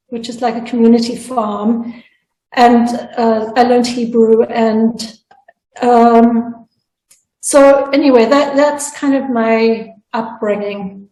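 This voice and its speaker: A woman's voice: fairly high pitch, a little mellow, reverberant, low-quality recording. A woman from South Africa, speaking earnestly as a panelist and sharing her own story, often restarting her sentences. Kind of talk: personal storytelling in a panel discussion.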